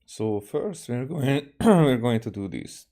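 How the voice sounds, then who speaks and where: A man from Hungary with a Hungarian accent, casually streaming a game and talking haltingly with many fillers and restarts. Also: mid-range voice, expressive, dark tone. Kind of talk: casual livestream commentary, reading game dialogue aloud.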